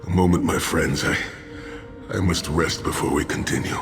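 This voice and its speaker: A man's voice: extremely low pitch, fairly even intonation, normal speed. An actor playing a grave, stoic warrior in a fantasy game, solemn and formal in his wording. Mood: pained, weary. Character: weary, stoic warrior haunted by torture, resolute and grave. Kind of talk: fantasy video game acting. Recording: long reverb, music behind the voice.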